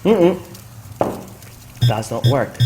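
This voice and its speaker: A man streaming a video game, commenting live and chatting with viewers in an excitable, exclamatory way, often repeating his phrases. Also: mid-range voice, extremely slow, noisy background.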